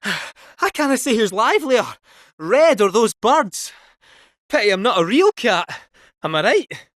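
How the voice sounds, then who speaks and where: A chatty male robot cat fortune teller from a video game, with a lilting Scottish accent. The voice sits high and leaps about playfully in pitch. Recorded dry and close, with no room sound. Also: very animated, a little slow, fairly bright tone, no echo, full character voice. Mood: wry musing.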